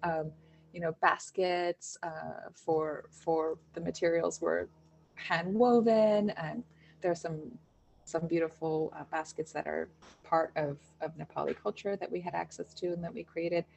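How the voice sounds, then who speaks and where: A woman, an education director, answering interview questions in a relaxed storytelling way, with fillers and restarts. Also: lowish voice, unhurried, creaky. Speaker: Montessori education director, guest.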